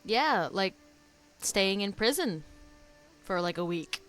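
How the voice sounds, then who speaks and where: A woman's voice: mid-range voice, lively intonation, slow pace, poor audio. A woman giving casual, giggly commentary while she plays a game. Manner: giggly, informal, reads some lines aloud. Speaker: streamer reacting to a game. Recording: loud game music behind. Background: video game music.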